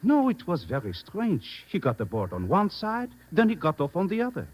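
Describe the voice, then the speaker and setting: Husky, singsong conductor. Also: male voice, high-pitched, wildly swooping pitch, moderate pace, a little mellow, exaggerated voice, low-quality recording. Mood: puzzled. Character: puzzled French train conductor. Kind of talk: old radio drama acting.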